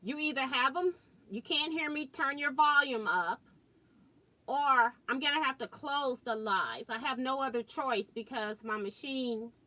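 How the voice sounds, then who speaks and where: A woman hosting a live sewing stream, chatting casually and thinking aloud as she works. She has a loud voice and chuckles often. She is recorded on a laptop mic about four feet away in a home sewing room. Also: high-pitched, a little slow, low-quality recording. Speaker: quilting and sewing livestream host. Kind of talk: casual live demo chat. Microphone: built-in laptop mic about four feet away.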